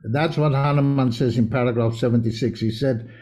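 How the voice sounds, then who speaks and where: Male interview guest: mellow, warm tone, earnest explainer, loose rambling delivery. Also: mid-range voice, fairly even intonation, fairly quick. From South Africa, grew up in Israel. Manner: earnest, explanatory, restarts his sentences. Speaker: homeopath guest. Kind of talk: casual interview talk.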